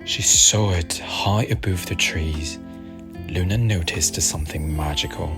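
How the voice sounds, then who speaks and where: A man softly narrating a soothing ASMR bedtime story in a gentle, unhurried, hushed manner over music. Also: low-pitched. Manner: gentle, hushed, unhurried, at times near a whisper. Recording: music behind the voice.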